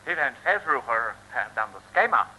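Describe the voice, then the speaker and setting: Officious foreign sentry, swooping wildly. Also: male voice, mid-range voice, big pitch swings, bright, forceful tone, slight background noise. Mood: deferential, flustered. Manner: halting, formal, mixing English into Dutch. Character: excitable, officious foreign military sentry barking orders. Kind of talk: 1950s-60s comic radio serial acting. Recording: dull, lo-fi vintage radio recording.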